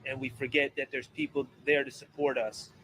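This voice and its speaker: A man giving an earnest statement in a measured, hesitant way. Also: medium pitch, flat delivery, sharp tone, a little hiss or hum, poor audio. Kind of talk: statement in a played clip.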